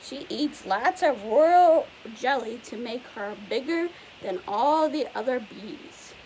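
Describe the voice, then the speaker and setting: A woman with a fairly high voice reads a children's picture book aloud like a teacher. She explains patiently in simple words and asks the listener questions. There is some hiss behind her. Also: lively intonation, slow pace, a little mellow, rough recording. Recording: clear background hiss and noise.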